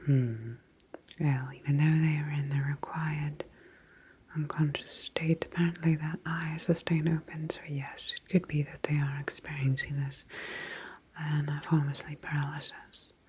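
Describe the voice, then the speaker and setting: A woman playing a calm, sinister sleep clinic scientist in a whispered ASMR horror roleplay, speaking with clinical, measured detachment. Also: sits low, a little hiss or hum, poor audio. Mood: clinical, quietly sinister. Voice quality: breathy whisper.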